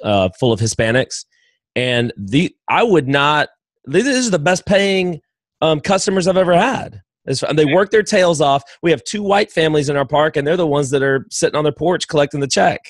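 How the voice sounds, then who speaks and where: A man's voice: a little high, expressive, moderate pace, dry recording. An enthusiastic man hosts a podcast interview and rambles through his questions, often restarting mid-sentence. His voice is close and dry, with no room sound around it. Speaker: podcast host.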